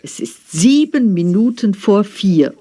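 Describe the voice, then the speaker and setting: Woman acting as coaxing hurried driver, pleading tone, lively intonation, slow pace. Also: mid-range voice, slightly rough, heavily acted. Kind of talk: scripted textbook dialogue.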